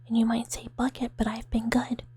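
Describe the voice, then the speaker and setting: A woman with a breathy, whispery, soft voice chatting playfully to viewers on an ASMR livestream, rambling and making mock threats. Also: on the high side, monotone, fairly quick. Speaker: VTuber streamer playing a mouse character.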